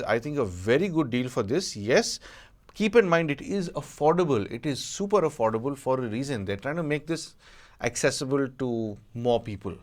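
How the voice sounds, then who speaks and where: Male tech reviewer, medium pitch, off-the-cuff and conversational, qualifying points as he goes. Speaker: audio gadget reviewer. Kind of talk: casual unscripted product review.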